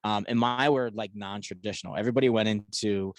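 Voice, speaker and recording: A man's voice: lowish voice, fairly even intonation, quick pace, dry recording, clean studio sound. A man in his forties from Poland with a Philadelphia accent, chatting casually as a podcast guest. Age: 40s. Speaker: podcast guest, e-commerce entrepreneur. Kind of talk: casual chat.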